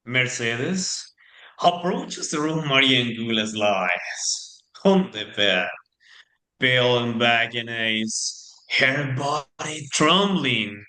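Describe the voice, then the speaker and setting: A man narrating a scripted tale as a dramatic storyteller, with theatrical, halting phrasing. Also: mid-range voice, sharp tone. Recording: echoey room, faint music.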